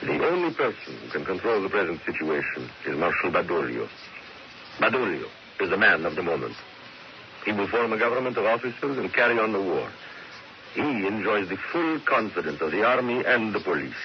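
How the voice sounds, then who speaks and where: A man's voice: low voice, very animated, brisk. An actor playing a stern, regal king in a radio drama, cold, firm and authoritative. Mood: cold, resolute. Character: stern, regal Italian king dismissing his dictator. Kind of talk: old-time radio drama acting. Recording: muffled, noisy vintage radio recording.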